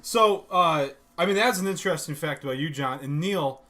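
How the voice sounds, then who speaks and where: A man's voice: fairly high pitch, moderate pace, bright, forceful tone. Laughing, joking podcast host man. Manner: joking, thankful, laughs often. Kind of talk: casual chat. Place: home basement.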